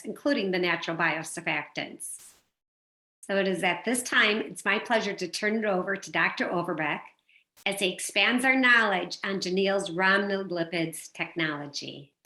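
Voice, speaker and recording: Woman moderator, crisp bright voice, polished intro read, roomy echo. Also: mid-range voice. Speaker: webinar moderator. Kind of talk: reading a speaker introduction. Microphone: video call. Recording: echoey room on a video call.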